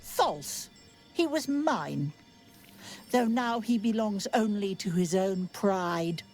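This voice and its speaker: Tart wizard's companion, unhurried, very lively intonation, dry, refined, fantasy game. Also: female voice, mid-range voice, faint music behind. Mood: bitter. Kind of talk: dramatic game voice acting.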